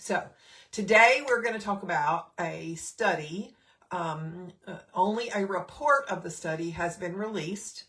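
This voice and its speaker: A woman's voice: lowish voice, a little slow, a bit bright. Slightly scratchy woman chatting on a live stream. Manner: chatty, many fillers, greets viewers by name. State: slightly scratchy throat, clearing it often. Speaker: nurse and health educator hosting a live stream. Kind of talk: casual live-stream talk.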